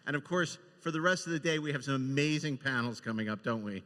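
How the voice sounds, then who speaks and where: A man hosting unscripted, introducing things effusively and enthusiastically, with a halting, filler-heavy delivery. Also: medium pitch, pushed and bright. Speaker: podcast and convention panel host. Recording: echoey hall with long reverb.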